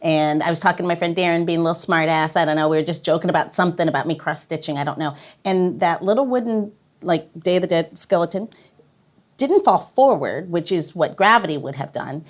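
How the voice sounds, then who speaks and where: A woman's voice: lowish voice, fairly quick. A woman hosting a casual podcast chat, telling a story and laughing often.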